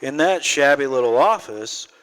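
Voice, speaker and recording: Man playing a tired, gentle father, mid-pitched. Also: lively intonation, normal speed, clean tone, close to an everyday voice. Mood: weary kindness. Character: tired, gentle, patient middle-aged father. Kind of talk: 1940s radio drama acting. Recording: reverberant church hall, live stage.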